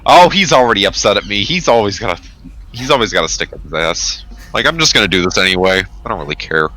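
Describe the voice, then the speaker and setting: A man's voice: on the low side, lively intonation, quick pace, bright, forceful tone. A man playing a tabletop role-playing game, chatting casually and speaking in character. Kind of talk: casual in-character play and chat.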